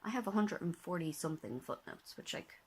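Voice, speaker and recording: A tired, slightly hoarse young woman talking casually to her phone camera at home, rambling, correcting herself and sometimes dropping to a whisper. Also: on the low side, expressive, brisk, darkish tone. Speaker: vlogger, master's student. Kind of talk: casual vlog talk to camera. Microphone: handheld phone at arm's length.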